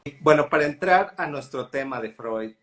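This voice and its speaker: A man's voice: fairly high pitch, animated, moderate pace, a bit bright. A man hosting a live-stream interview, friendly and appreciative, using casual fillers. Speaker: host.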